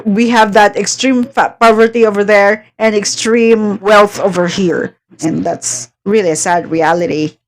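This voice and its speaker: A woman's voice: mid-range voice, steady tone, measured pace, few pauses. Casually chatting woman reacting along. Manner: conversational, murmured acknowledgements and short asides. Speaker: reaction video host.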